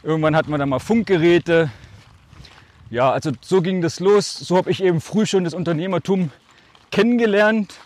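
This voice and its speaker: A man's voice: sits high, normal speed, darkish tone. A man in his forties from Germany with a northern German accent with a slight Swabian touch, talking as a podcast guest in a reflective, storytelling way. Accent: Altmark, northern Germany, slightly Swabian. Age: 40s. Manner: reflective, speaks in short phrases, often draws words out. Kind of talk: casual storytelling.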